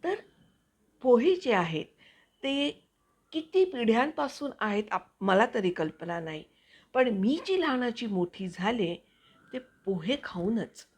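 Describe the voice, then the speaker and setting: A woman hosting her own channel, chatting to viewers in a casual, explanatory way with hesitant pauses as she finds her words. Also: a little high, big pitch swings, darkish tone, husky. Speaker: actor and video channel host.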